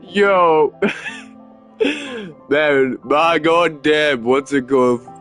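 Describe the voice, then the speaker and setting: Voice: mid-range voice, expressive, very slow, fairly bright tone. A game streamer giving casual, slangy, exclamatory reaction commentary, with game music behind.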